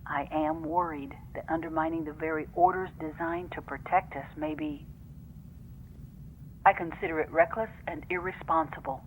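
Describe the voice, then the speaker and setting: A woman playing a serious female first officer who dictates formal, measured log entries in a fan audio drama. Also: sits low, steady tone, slight background noise, natural voice, low-quality recording.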